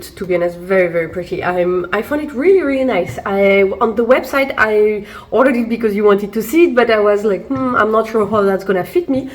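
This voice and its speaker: Chatty woman vlogger with a fading cold. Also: mid-range voice. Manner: chatty, many fillers and restarts. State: recovering from a cold. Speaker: fashion vlogger. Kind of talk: casual try-on haul review. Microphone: camera mic while seated.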